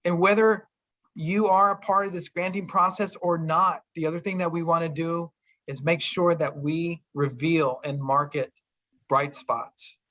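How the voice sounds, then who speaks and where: A government official answering a question in an earnest, storytelling way, with frequent restarts. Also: male voice, on the high side, fairly even intonation, unhurried, bright, forceful tone. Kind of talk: virtual town hall talk.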